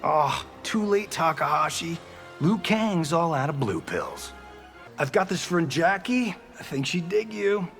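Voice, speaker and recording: A man's voice: on the high side, big pitch swings, measured pace, creaky, fairly bright tone, soft background music, full character voice. A man playing a brash, slangy, wisecracking Hollywood action star in a video game. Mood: cocky, teasing.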